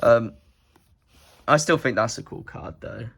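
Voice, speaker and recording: A young man from the UK talks in a loose, rambling way, his voice stuffed and nasal from a cold. He sits at a middle pitch and keeps stringing thoughts together with filler words as he shows off his collection. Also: measured pace, creaky, a bit bright. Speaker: card collector vlogger. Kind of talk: casual rambling show-and-tell.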